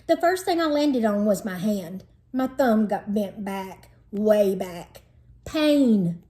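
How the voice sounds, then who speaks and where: A woman narrating a children's storybook in an expressive, dramatizing read-aloud style. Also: mid-range voice, takes it slowly.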